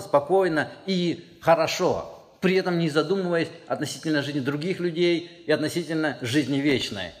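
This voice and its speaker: A man preaching a sermon as pastor, speaking earnestly and pressing his points with rising emphasis. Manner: earnest, emphatic, rises in pitch and force when stressing a point. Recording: long hall reverb.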